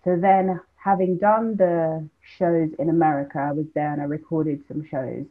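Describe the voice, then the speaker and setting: A woman from London explains her story step by step in a measured, conversational way. Her voice comes through thin and phone-like over a video call, with a husky edge. It sounds like a webcam mic in a home room. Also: low-pitched, steady tone. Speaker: interview guest, cooking show presenter.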